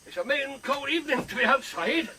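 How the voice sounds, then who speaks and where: Sly pirate leader, rough rustic speech, very high forceful delivery, wheedling menace, radio play. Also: male voice, expressive, a little fast, a little hiss or hum, exaggerated voice. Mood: bargaining menace. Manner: wheedling, then threatening. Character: sly, menacing pirate leader with a one-time cook's past. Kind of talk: radio drama acting.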